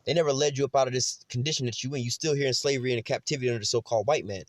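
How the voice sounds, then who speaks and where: A man teaching scripture in a loose, slangy street style, reading verses slowly and then explaining them with lots of "man" and "you know what I'm saying.". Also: medium pitch, fairly even intonation. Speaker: preacher.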